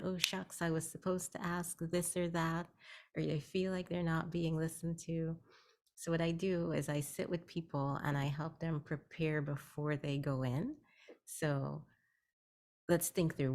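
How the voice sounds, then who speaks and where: A woman from Guyana speaks as a guest on a video-call meeting, explaining her services plainly and patiently. Her voice sits very low for a woman, with a gravelly fry running through it. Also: darkish tone. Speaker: guest, health educator. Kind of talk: virtual networking meeting talk.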